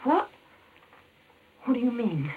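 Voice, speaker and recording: A noisy, muffled old radio recording carries a young shop assistant in a 1940s mystery. Her voice is highish and lively. She is courteous, earnest and anxious. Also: slow, husky, heavily acted. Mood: shocked, disbelieving.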